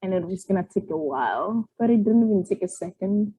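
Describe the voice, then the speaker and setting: A woman co-hosting a maths lesson, chatty and quick to laugh. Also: medium pitch, animated, dark tone, slightly rough, no room sound, poor audio. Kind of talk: casual lesson banter.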